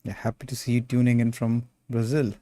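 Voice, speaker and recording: A man's voice: mid-range voice, level tone, fairly quick, mellow, dry recording. A young man with an Indian accent chatting casually on a sculpting livestream, reading chat aloud and calling viewers "bro.". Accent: Indian English. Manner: laid-back, calls viewers bro, reads chat aloud. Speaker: sculpting streamer.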